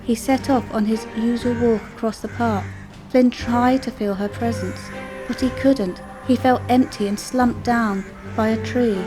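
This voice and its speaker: A woman's voice: fairly high pitch, steady tone, a little slow, over music, poor audio. A woman storyteller reading a scripted children's story as narration.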